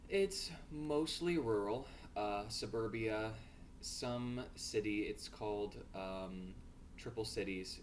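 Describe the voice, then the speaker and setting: A man in his twenties gives casual interview answers in a low voice. He is from upstate New York, and clear background noise surrounds him. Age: 20s. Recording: noisy room ambience.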